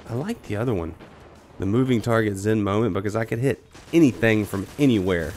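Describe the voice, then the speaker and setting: A man's voice: fairly low pitch, fairly quick, darkish tone, faint music behind. A man streaming a shooter game with casual, joking commentary to viewers, his voice worn out from a cold. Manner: joking, talks to viewers while playing. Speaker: gaming streamer. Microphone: headset or desk mic, no camera.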